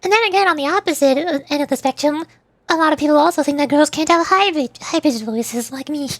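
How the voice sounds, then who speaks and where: A woman with a small, squeaky, girlish voice, chatting casually and earnestly to viewers on a livestream. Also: a little fast, no room sound. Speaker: streamer and VTuber, voice actor.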